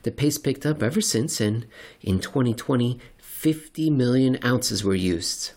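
A man reading a scripted narration in a dramatic, deliberate and wry manner. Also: medium pitch, darkish tone. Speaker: narrator and reader. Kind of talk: theatrical scripted narration of market report and story.

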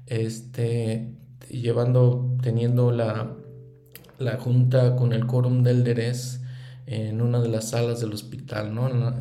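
A man's voice: mid-range voice, vocal fry, warm tone, echoey room. A middle-aged man giving a reflective religious lesson, earnest and thinking aloud as he speaks. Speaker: scripture study teacher.

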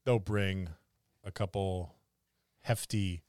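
A man's voice: low voice, steady tone, slow, darkish tone, dry recording, clean studio sound. A man co-hosting a podcast, chatting casually about tabletop gaming in a rambling, musing way. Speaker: podcast co-host.

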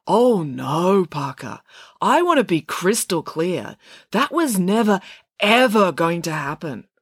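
A woman's voice: medium pitch, sing-song, moderate pace, a little mellow, slightly rough, no room sound, studio quality, pitched above the performer's own voice. A woman voicing a blunt, puzzled schoolgirl with a frank, questioning manner in a storybook reading. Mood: firm.

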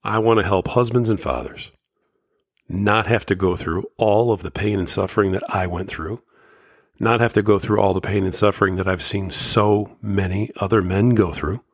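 A man's voice: lowish voice, steady tone, moderate pace, breathy edge, no echo, clean studio sound. A man hosting a solo podcast, talking candidly and motivationally, his voice slightly scratchy from a summer cold. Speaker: podcast host.

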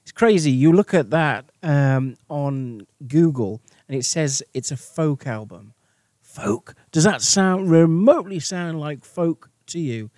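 A man's voice: a little high, expressive, slow, a little mellow, no room sound, studio quality. A man presenting a music chart show in an enthusiastic radio style, speaking emphatically and repeating phrases for stress.

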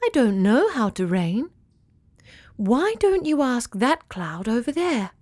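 A woman voicing a wispy, friendly little female cloud in a gentle, helpful tone. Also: fairly high pitch, sing-song, slow pace, dry recording, exaggerated voice.

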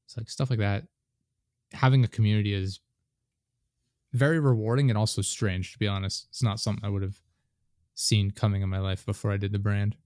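A man's voice: lowish voice, fairly even intonation, a little fast, long pauses, darkish tone, no echo, clean studio sound. A man in his late twenties hosting a casual podcast chat, rambling with many fillers and restarts. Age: 20s. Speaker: podcast host.

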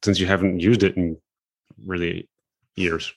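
A man in casual conversation, relaxed and affirming, chatting along with plenty of filler words. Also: low voice, normal speed.

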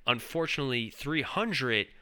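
Animated, bright-voiced male podcast host. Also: medium pitch, moderate pace, clear, resonant tone. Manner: enthusiastic, rambling with restarts and fillers. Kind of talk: casual podcast chat.

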